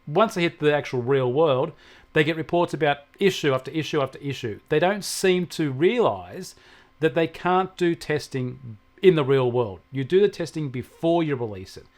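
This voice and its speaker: A man's voice: on the high side, lively intonation, normal speed, a little mellow. An Australian man giving conversational technology advice as an expert, emphatic and repeating points to stress them. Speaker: technology expert answering questions.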